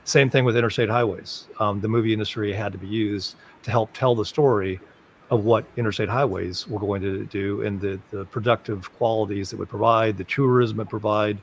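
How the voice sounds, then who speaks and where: Man, low gravelly voice, halting panel talk, faint hiss behind. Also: steady tone. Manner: halting, full of ums and restarts. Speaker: panel speaker. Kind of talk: discussion on a web forum call.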